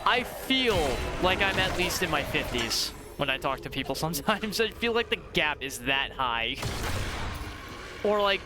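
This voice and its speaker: A man in his thirties streaming a game, chatting casually with a friend in an animated, rambling way, laughing often and swearing. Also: on the high side, a little slow, over music. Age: 30s. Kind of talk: casual gaming stream chat.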